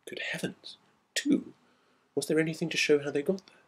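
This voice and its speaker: A man's voice: a little high, steady tone, moderate pace, breathy edge, voice pushed up. A man playing a nervous, inquisitive antiquarian visitor in a storybook reading, uneasy in manner. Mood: startled, nervous. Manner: questioning, uneasy.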